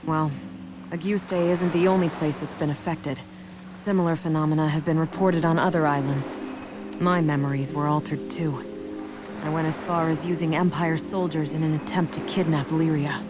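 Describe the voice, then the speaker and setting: Serious female officer for a fantasy game, acted by a woman, lowish, formal, grave, music underneath. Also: fairly even intonation, moderate pace, close to an everyday voice. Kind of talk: video game voice acting.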